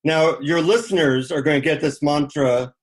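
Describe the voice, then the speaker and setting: A man's voice: fairly high pitch, normal speed, husky. A middle-aged man speaking as an interview guest, informally sharing anecdotes and advice in a conversational way.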